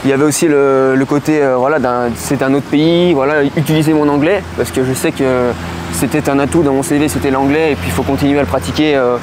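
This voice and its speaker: A man in his twenties from the Lyon region, answering interview questions outdoors in a city, pausing often with fillers. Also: mid-range voice, steady tone. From Lyon region, France. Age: 20s. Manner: hesitant, many fillers and restarts. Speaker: interview guest. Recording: clear street noise behind.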